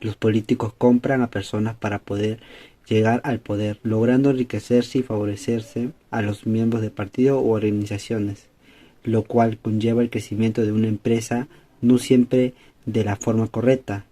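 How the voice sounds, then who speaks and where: Young man, student presenter, monotone delivery, fairly mellow timbre, scripted closing commentary. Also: on the low side, moderate pace. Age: young adult.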